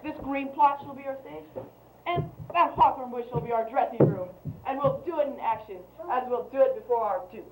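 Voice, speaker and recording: Comic stage role: actress as officious amateur troupe leader, eager announcements, high-pitched voice. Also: female voice, a little fast, low-quality recording. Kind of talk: comic Shakespearean stage acting.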